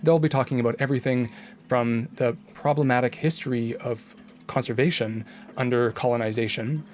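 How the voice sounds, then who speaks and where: A man's voice: medium pitch, a little mellow, slight background noise. A man reading a scripted podcast intro in an upbeat announcing manner. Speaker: podcast host. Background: guitar music.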